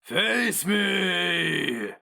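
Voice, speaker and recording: A man's voice: high-pitched, sing-song, very slow, gravelly, pushed and bright, pitched above the performer's own voice, exaggerated voice. A man playing a savage, proud hero mage in a fantasy story, with a theatrical, declamatory delivery. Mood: furious challenge. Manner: theatrical, declamatory, raised well above the narration. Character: savage, indomitable, proud male hero mage, famed and feared.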